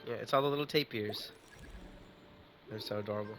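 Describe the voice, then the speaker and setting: A man's voice: lowish voice, brisk, music in the background. A man giving casual, off-the-cuff commentary while playing a video game. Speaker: game streamer. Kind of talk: casual live gameplay commentary.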